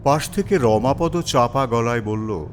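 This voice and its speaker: A man's voice: medium pitch, expressive, measured pace, slight background noise. A man narrating a scripted story as its storyteller.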